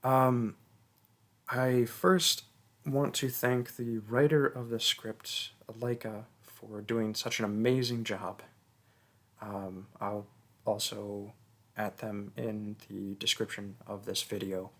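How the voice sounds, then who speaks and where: Slow, hesitant man thanking listeners. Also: on the low side, little pitch movement, a bit bright. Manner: hesitant, lots of ums, bashful laughs. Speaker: voice actor. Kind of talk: casual thank-you outro.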